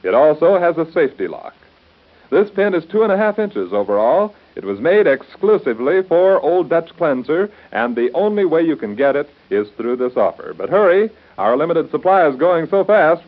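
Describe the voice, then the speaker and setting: High-voiced, animated American radio announcer, male. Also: normal speed. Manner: polished salesman's delivery. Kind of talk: 1930s radio ad and serial announcing. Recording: muffled 1930s radio broadcast recording.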